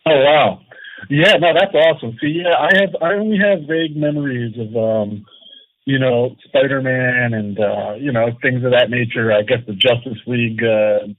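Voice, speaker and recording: A man's voice: mid-range voice, moderate pace, dry recording. A man in his early forties from Atlantic City, a guest answering questions in rambling, friendly talk full of fillers. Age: 40s. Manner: rambling, lots of ums and you-knows, friendly. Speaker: comics writer and editor, guest. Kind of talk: casual interview. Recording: dry, tinny phone-like line.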